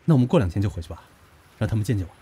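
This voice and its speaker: An actor playing a passionate, boyish young man in an audio drama, with a husky, insistent delivery. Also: fairly low pitch, very animated, racing, mellow, slight background noise. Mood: tender, eager. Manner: emotional, insistent. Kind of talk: Chinese audio drama acting.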